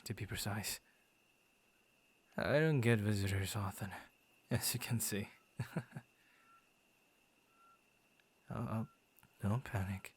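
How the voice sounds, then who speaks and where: Unhurried low-voiced friendly werewolf played by a man. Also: slightly rough. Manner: stammering, chuckling, playfully theatrical. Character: friendly, bashful, bored male werewolf guarding his forest. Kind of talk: ASMR boyfriend roleplay.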